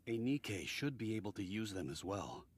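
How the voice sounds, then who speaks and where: A man's voice: on the low side, very animated, moderate pace, breathy edge. A man voicing an earnest, considerate supply convoy worker in an English-language video game. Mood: earnest, grateful.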